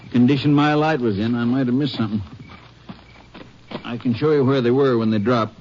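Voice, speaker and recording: An actor playing a friendly small-town Texas sheriff in a 1950s radio drama, speaking in a folksy, easygoing way. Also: male voice, medium pitch, fairly even intonation, brisk, darkish tone, close to an everyday voice. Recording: dull lo-fi old radio recording with faint hiss.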